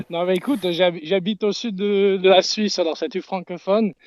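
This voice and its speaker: A man's voice: high-pitched, steady tone, unhurried, darkish tone, no echo. A Canadian man, a native English speaker, chatting in French with a noticeable English accent, hesitating as he searches for words. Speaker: guest on an audio space. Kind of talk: casual chat.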